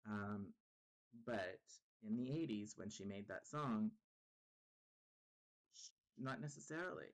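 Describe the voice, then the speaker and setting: Congested gravelly-voiced man, nasal. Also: fairly low pitch, animated, low-quality recording. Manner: rambling, halting with many ums and restarts. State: congested and a little sick, nasal. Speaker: YouTube channel host. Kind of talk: casual monologue to camera.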